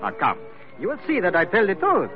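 An actor playing a suave, courteous villain with formal, hesitant politeness in an old radio drama. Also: male voice, sits high, huge pitch swings, fairly quick, over loud music. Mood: smooth, persuasive. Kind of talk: 1940s radio acting. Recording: tinny, noisy old radio recording.

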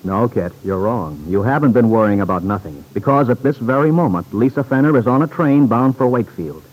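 A man's voice: medium pitch, animated, a little fast, darkish tone, poor audio. A man narrating an old radio serial in a dramatic, scripted style.

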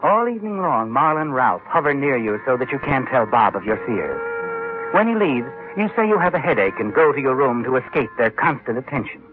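Actor as American man, ominous suspense narrator, animated delivery, faint music, vintage radio. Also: medium pitch, fairly quick, natural voice. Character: ominous male narrator addressing the frightened heroine directly. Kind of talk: second-person suspense narration, old-time radio. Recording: tinny old radio recording.

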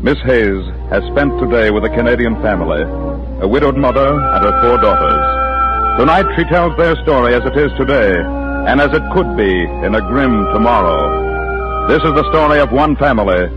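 A man's voice: medium pitch, animated, moderate pace, over loud music. Dull old-radio recording, formal male announcer.

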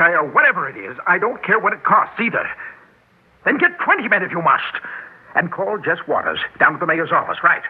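A man's voice: high-pitched, breathy edge, full character voice. An actor playing a desperate, demanding wealthy businessman in an old-time radio drama. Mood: urgent, commanding. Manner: demanding, pleading, frantic, urgent. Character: desperate, imperious wealthy businessman of sixty-two who looks young. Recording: noisy, narrow-band classic radio recording.

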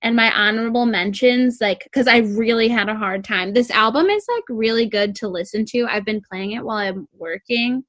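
A young woman with a sweet, squeaky voice, chatting casually about music and peppering her talk with "like". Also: moderate pace, few pauses, a bit bright. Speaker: co-host reviewing music. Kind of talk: casual chat.

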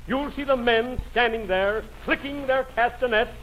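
Very high-voiced pompous blustering boss. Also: male voice, moderate pace. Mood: fussy, bossy. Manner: pompous, flustered, scolding. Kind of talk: 1940s radio comedy acting. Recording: dull, noisy old radio broadcast.

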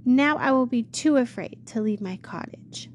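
A woman's voice: a little high, lively intonation, moderate pace, a little hiss or hum, voice pushed up, close to an everyday voice. A woman voicing a timid young crippled woman who is fearful and pleading, in a storybook reading. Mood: despairing. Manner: anxious, tearful pleading.

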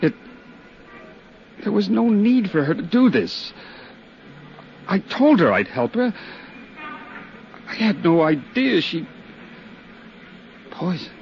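Edgy, halting man in noisy old radio drama. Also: high voice, very animated, gravelly, exaggerated voice. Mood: shocked, distressed. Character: defensive, agitated office worker shaken by bad news. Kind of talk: 1950s radio drama acting. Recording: muffled, noisy 1950s radio recording.

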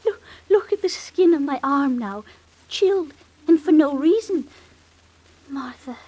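A woman's voice: high voice, animated, measured pace, darkish tone, poor audio. An actress playing a naive, timid, lovestruck young working girl, wistful and earnest, in an old radio drama. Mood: uneasy.

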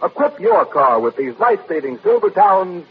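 High-pitched, emphatic vintage radio pitchman. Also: big pitch swings, normal speed. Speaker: commercial announcer. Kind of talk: vintage radio advertising read. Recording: tinny, narrow-band vintage radio recording, noise.

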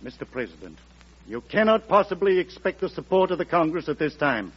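Vintage radio drama: dignified, earnest legislator standing firm, rough-edged voice, dull archival sound. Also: male voice, a little high, big pitch swings, fairly quick. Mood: earnest, resolute. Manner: formal, earnest, stubborn. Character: firm, dignified congressional leader opposing the president. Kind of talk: old radio drama acting. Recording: muffled old radio recording.

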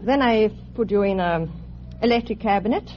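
A woman from Europe with a European accent, speaking haltingly as a contestant on a vintage radio quiz show. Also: mid-range voice. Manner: halting, simple hesitant phrasing. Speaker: quiz show contestant, steam bath operator. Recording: muffled, noisy vintage radio with audience.

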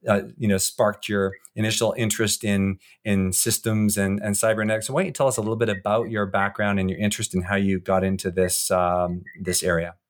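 A man co-hosting an interview podcast, introducing and questioning guests in an informal, somewhat halting and wordy way. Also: fairly low pitch, level tone.

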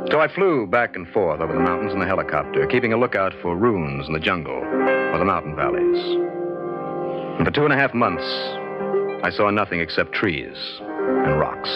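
Deep-voiced, animated American adventurer. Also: male voice. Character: discouraged, impatient American academic adventurer telling his story. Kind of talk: old-time radio drama acting, first-person narration. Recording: dull old radio recording, loud music.

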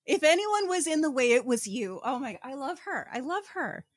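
Female podcast co-host, slightly high voice, dry close sound, wry and emphatic slangy book commentary. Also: sing-song, normal speed, no room sound. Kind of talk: casual comedic book commentary.

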